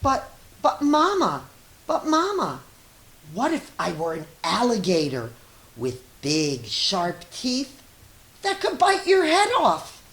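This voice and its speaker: A woman's voice: on the high side, huge pitch swings, takes it slowly, a bit bright, pitched above the performer's own voice, exaggerated voice. A woman voicing an imaginative, insistent little boy questioning his mother in a storybook read-aloud. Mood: excited, insistent. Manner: pleading, exaggerated questioning. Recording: roomy echo with faint hiss.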